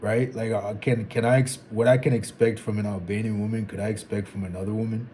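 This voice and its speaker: A man in his twenties thinking out loud in a casual, rambling vlog, restarting sentences and dropping "like" and "bro". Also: fairly low pitch, flat delivery, a little fast, slight background noise. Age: 20s. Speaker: vlogger. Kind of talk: casual reflective monologue.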